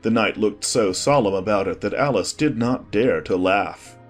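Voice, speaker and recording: A man's voice: lowish voice, moderate pace, soft background music. A man narrating a storybook as a scripted audiobook.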